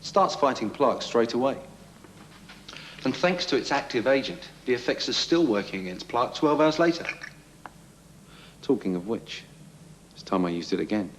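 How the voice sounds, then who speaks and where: An actor plays an ordinary man giving a conversational testimonial in a television advert. His mid-pitched voice is animated, with a long room reverb around it. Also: moderate pace, long pauses, close to an everyday voice. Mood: matter-of-fact. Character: everyman demonstrating toothpaste in an advert. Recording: reverberant room with faint noise.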